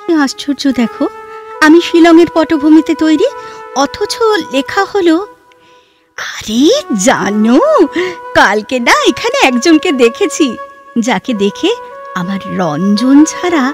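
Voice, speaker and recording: Poised, slow-spoken literary heroine. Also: female voice, sits high, animated. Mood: wondering. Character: poised, restrained, literary young woman, gently teasing. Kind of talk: Bengali audio drama acting. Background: background music.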